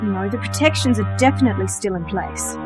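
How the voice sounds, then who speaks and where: A woman acts as a composed sorceress in a radio drama. She speaks politely and precisely at a medium pitch, with dry understatement. Faint music sits behind her. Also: animated, fairly quick, natural voice. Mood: composed, concerned. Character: composed, genteel, dryly witty female sorcerer, organizer of magic workers. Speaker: actor in radio play. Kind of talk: radio play dialogue.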